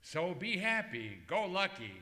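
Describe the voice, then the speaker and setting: A man's voice: high voice, sing-song, unhurried. A man announcing in a formal, declamatory old-time radio style, reading from a script on stage. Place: museum performance space, live before an audience. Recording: echoey hall with loud music.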